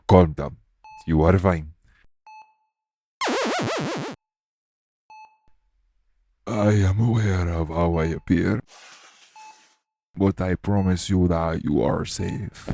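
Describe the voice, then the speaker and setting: A deep, creaky-voiced male iguana shifter , acted by a man, speaks as a scholarly archaeologist in an audio roleplay. His tone is dark and soft-edged. He is courteous and measured, choosing formal words to reassure. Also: full character voice. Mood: calm, reassuring. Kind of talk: audio roleplay acting addressed to the listener.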